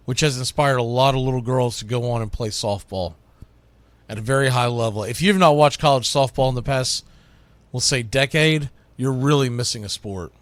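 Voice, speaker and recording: A man's voice: medium pitch, dry recording. A middle-aged man hosting a sports talk monologue, speaking in an emphatic, folksy, conversational way like a play-by-play announcer working through a list. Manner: emphatic, announces each item loudly then drops into quieter musing. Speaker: radio host and baseball play-by-play announcer.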